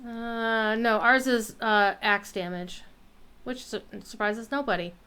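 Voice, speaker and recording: A woman hosts a casual gameplay stream. Her voice is bright and crisp, and she takes her time, talking half to herself and half to the people watching. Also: fairly high pitch, steady tone, takes it slowly. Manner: talks to herself and viewers. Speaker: game streamer. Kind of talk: casual live gameplay chat.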